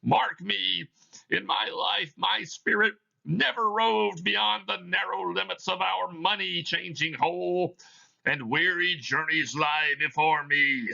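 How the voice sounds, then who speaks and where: A man voicing a tormented, ominous ghost of a dead businessman in solemn, drawn-out, wailing declamation for a stage play reading. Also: sits high, steady tone, creaky, bright, forceful tone, lo-fi sound, pitched above the performer's own voice, exaggerated voice. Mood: tormented, lamenting.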